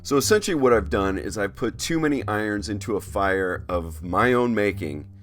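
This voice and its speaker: A man's voice: lowish voice, lively intonation, moderate pace, soft background music. A man narrating a scripted art vlog as host, with casual asides and a theatrical, playful, self-interrupting manner.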